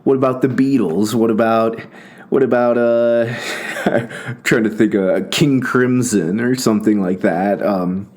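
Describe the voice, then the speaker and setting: A man's voice: medium pitch, fairly even intonation, unhurried, darkish tone. A man hosting a casual commentary monologue, rambling and self-interrupting, often breaking into laughter. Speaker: host.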